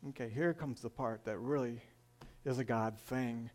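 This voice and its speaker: A man's voice: medium pitch, moderate pace. An older man from Michigan with a northern Michigan accent, telling his personal faith testimony in an earnest, storytelling way with frequent "uh"s. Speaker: church testimony speaker.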